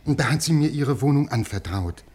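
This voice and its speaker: A man's voice: medium pitch, expressive, a little fast, a little mellow, breathy edge. An actor playing an anxious scholarly man who pleads and over-explains earnestly, in a 1950s German radio play. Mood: nervous, earnest. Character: anxious, pleading, scholarly male literary historian.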